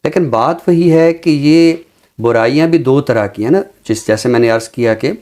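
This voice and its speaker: Guy on a talk show doing thoughtful commentary, mid pitch, careful and explanatory, kinda literary wording. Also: darkish tone. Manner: measured, deliberate, explanatory; earnest, literary vocabulary.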